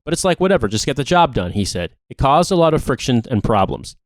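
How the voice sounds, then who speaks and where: A man's voice: mid-range voice, big pitch swings, quick pace, no room sound, clean studio sound. A man co-hosting a podcast, reading a long article aloud. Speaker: podcast co-host.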